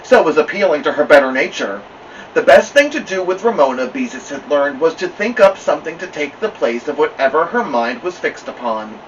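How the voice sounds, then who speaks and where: A man with a slightly high voice narrates a children's story very expressively, his pitch moving widely. He sounds some way from the mic, in a slightly dull recording with faint noise. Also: expressive, brisk, far from the mic. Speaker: storybook reader.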